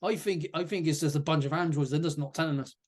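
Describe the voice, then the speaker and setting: A man's voice: on the high side, fast, a little mellow. A man from southwest England hosting a casual metal interview, chatty and jokey. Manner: chatty, jokey, banter-loving. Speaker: interview host, musician.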